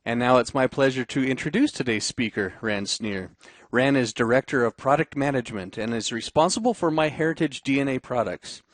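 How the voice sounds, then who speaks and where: A man's voice: medium pitch, fairly quick, dry recording. Man hosting a live webinar. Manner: friendly, scripted then loose and hesitant. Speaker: webinar host.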